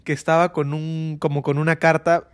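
A man with a Peruvian Spanish accent, co-hosting a podcast and telling stories in a playful, animated, slang-heavy way. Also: fairly high pitch, normal speed, clear, resonant tone, dry recording. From Peru. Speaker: podcast co-host. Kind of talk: casual chat.

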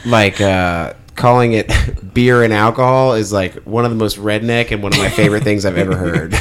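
A man's voice: on the low side, normal speed. A man chatting casually as a co-host on a comedy podcast, joking and telling rambling stories.

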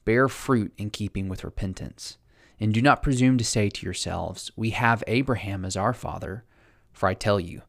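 Gravelly low-voiced man teaching scripture. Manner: rambling, full of restarts and fillers. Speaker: podcast host teaching scripture. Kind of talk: casual Bible study teaching.

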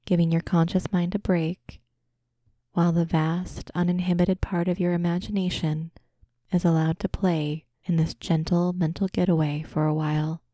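Soft, mellow woman guiding a meditation. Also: fairly low pitch, dry recording, studio quality. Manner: gentle, calming, soothing, unhurried. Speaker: podcast host, guided meditation narrator. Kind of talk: scripted guided imagery meditation narration.

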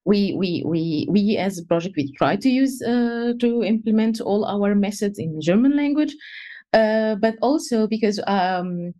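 A young woman speaks English at a middling pitch. She answers interview questions in halting, thoughtful phrases, often restarting sentences and filling pauses. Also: expressive, warm tone. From Egypt. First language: Egyptian Arabic. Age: young adult. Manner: halting, many fillers and restarts. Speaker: guest researcher.

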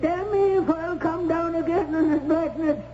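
Old radio jailer: very high, dark-toned, slow, fearful and irritable, muffled. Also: female voice, fairly even intonation, slight background noise. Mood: nervous, irritable. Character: gruff, fearful prison jailer in colonial times. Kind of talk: old-time radio drama acting.

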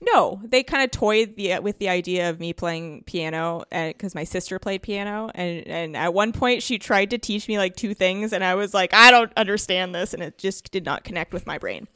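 A woman's voice: mid-range voice, moderate pace, hardly any pauses, sharp tone, clean tone, no room sound, studio quality. A woman guesting on a podcast, chatting casually and acting out little quotes in her anecdotes. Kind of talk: casual chat with personal anecdotes.

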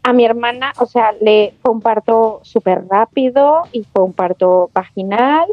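A Mexican woman guest talking in a chatty, animated way, with colloquial slang. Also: fairly high pitch, unhurried, no echo, dull audio, soft background music. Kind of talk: casual podcast conversation.

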